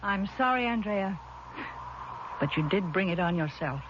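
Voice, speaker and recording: An actress playing a calm, wronged, quietly resolute sister in 1970s radio drama style. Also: female voice, mid-range voice, normal speed, natural voice. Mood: sorry. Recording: noisy, muffled vintage radio recording.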